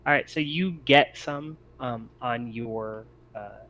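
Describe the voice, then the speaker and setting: A man acting as game master, improvising narration and talking through rules in a hesitant, deliberate way. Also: medium pitch, pushed and bright. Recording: clear background noise.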